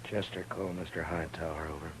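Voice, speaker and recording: An actor playing a weary, terse Old West marshal with an authoritative manner in a 1950s radio western. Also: male voice, sits low, steady tone, a little fast, close to an everyday voice. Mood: grim, resigned. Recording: noisy 1950s radio recording.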